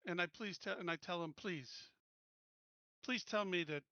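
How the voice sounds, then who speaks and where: Man, roleplaying player, intensely bright edgy tone, halting blunt casual chat, sweary, slightly muffled dry recording. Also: high-pitched, no echo. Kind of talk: casual in-game improvised chat.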